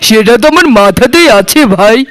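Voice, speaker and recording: Man playing doting cartoon farmer brother: strikingly high voice, clean and smooth, earnest, affectionate. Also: animated, a little fast, a bit bright, dry recording. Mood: devoted, earnest. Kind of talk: village moral-story cartoon acting.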